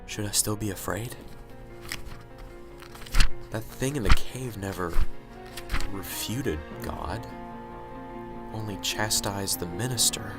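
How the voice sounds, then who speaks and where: A man's voice: lowish voice, slow pace, long pauses, over loud music. A man playing a nervous, unsure professor in an amateur radio drama, muttering hesitantly to himself and stammering. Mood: pensive, troubled. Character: nervous, unsure, bookish male professor, anxious and brooding.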